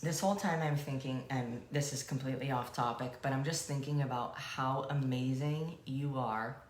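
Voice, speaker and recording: A woman's voice: rumbling low, normal speed, roomy sound, slight background noise. A woman co-hosting a coaching show, chatting casually and rambling off on playful, self-deprecating tangents.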